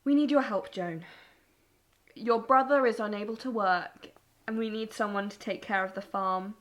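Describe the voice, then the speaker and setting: A woman's voice: medium pitch, normal speed, fairly bright tone, lightly acted. A woman playing an anxious, burdened farm mother in a wartime audio drama, speaking properly and worriedly. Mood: strained, pleading.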